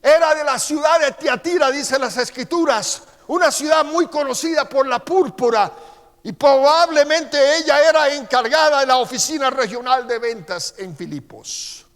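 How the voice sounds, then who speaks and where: A middle-aged man with a Costa Rican accent preaching a sermon as pastor. He reads Scripture slowly and with emphasis, then turns quieter as he tells personal stories. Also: very high-pitched. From Costa Rica. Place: church sanctuary. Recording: long church-hall reverb.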